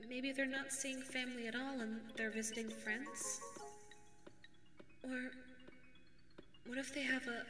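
A woman's voice: sits high, close to an everyday voice. A woman voicing a sweet, anxious female dog secretary with a crush, speaking hesitantly in an ASMR roleplay. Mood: wistful daydreaming. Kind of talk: ASMR audio roleplay monologue. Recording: echoey reverberant sound with background music. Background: music.

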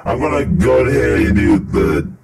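A man's voice: mid-range voice, normal speed, gravelly, over a noisy crowd. A man giving casual, slangy, exasperated commentary as the host of a reaction video. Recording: echoey room, loud video audio behind.

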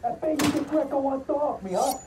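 High, defiant captive American mobster. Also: male voice, animated, fast talker. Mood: scornful. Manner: belligerent, taunting. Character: defiant, foul-mouthed captive male mobster taunting captors. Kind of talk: shouted game dialogue. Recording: distant, echoey, noisy game scene.

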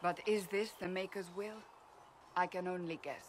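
A woman playing a stern, devout and blunt fantasy warrior in a video game, speaking gravely and deliberately. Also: on the low side, fairly even intonation, slight background noise, natural voice. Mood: earnest. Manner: grave, forceful, deliberate phrasing. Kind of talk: video game cutscene acting.

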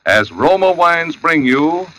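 A man's voice: fairly high pitch, lively intonation, takes it slowly, pushed and bright, low-quality recording. A man reading a 1940s radio advertisement as a polished, emphatic commercial announcer.

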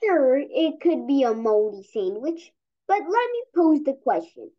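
A child reads a comic aloud in a high, very animated voice. The pace is slow, with some stumbles over words, and the recording sounds dull. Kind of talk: read-aloud storytelling. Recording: dull, lo-fi audio.

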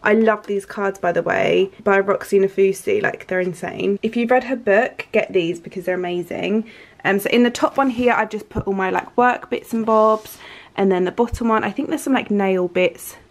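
A woman's voice: mid-range voice, fairly even intonation, normal speed. A young woman in her twenties chatting casually to her handheld camera at home, enthusiastic and full of fillers, her nose stuffy from hay fever. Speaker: lifestyle vlogger. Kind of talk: casual vlog chat to camera. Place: living room at home, indoors.

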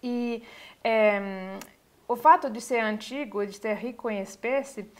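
A woman, giving an online university lecture over slides, pausing often with fillers as she searches for words. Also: a little high. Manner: hesitant, many filler pauses. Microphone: webcam on a video call.

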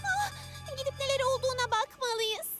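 A woman voicing a brave, helpful eight-year-old girl in an animated children's series, earnest and expressive. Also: very high-pitched, fairly quick. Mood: concerned. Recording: loud music behind. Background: music.

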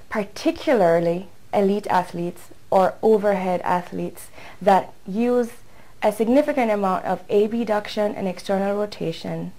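Woman doctor in her 30s, clear measured delivery, mid-pitched, medical explainer to camera. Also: a little mellow, poor audio. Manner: clear, measured, careful with technical terms. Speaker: physician presenting her research. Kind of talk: prepared medical talk to camera. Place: indoors, seated in a bright hospital lounge by windows.

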